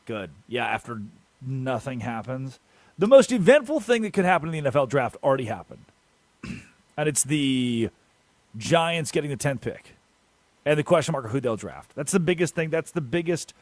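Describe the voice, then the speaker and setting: A young man hosting a sports radio show, animated and jokey, rambling through asides in a studio. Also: mid-range voice, normal speed, no room sound. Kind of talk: energetic sports talk radio. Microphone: studio microphone.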